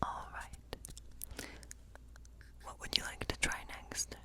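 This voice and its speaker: A friendly woodland witch, played by a woman, whispers her way through an ASMR tarot role play. Her voice is all soft breath with no tone behind it. She rambles gently and lingers over phrases as she goes. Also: slow, close to an everyday voice. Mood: gentle, encouraging.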